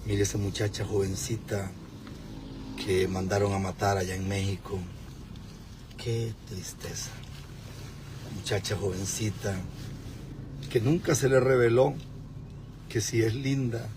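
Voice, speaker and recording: A man's voice: lowish voice, level tone, measured pace, pauses often. Exhorting middle-aged man, vehicle noise behind. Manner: exhorting, moralizing. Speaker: preacher livestreaming. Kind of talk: informal preaching mixed with chat. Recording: noisy vehicle and outdoor background.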